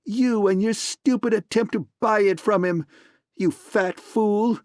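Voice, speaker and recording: A man voicing a shrill, spluttering, tearful man in an audiobook reading. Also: a little slow, a little mellow, no echo, studio quality. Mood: frantic.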